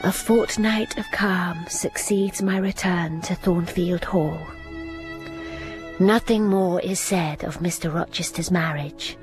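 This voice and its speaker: An actress playing an earnest young governess who also narrates a radio drama, soft and restrained. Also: female voice, lowish voice, slow, music in the background. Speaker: narrator and heroine. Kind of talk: radio drama acting and first-person narration.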